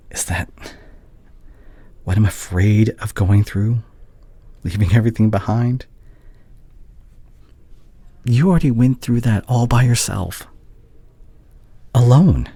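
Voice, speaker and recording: A man playing a heartbroken, devoted young doctor ex-boyfriend in an intimate audio roleplay, hushed and halting, often slipping toward a whisper. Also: mid-range voice, breathy edge, dry recording. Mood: anguished, pleading. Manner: halting, emotional, confessional.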